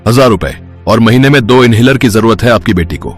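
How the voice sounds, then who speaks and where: A man's voice: medium pitch, expressive, fairly quick, faint music behind, natural voice. A man voicing a grave, blunt village doctor in a cartoon story.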